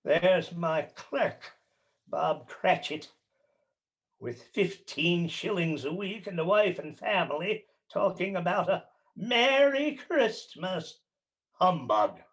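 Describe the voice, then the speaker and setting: A man's voice: high-pitched, animated, slow, full character voice. An actor playing a bitter, miserly old man in a radio play, snarling his lines in a grating, scornful voice.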